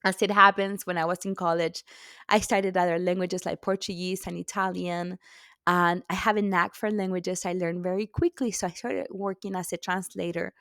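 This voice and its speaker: Middle-aged author guest, from Argentina, native Spanish speaker; low, gravelly, chatty, restarts often. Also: female voice, fairly even intonation, moderate pace, fairly bright tone, no room sound, clean studio sound. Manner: chatty, laughs often, self-correcting restarts. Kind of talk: podcast interview.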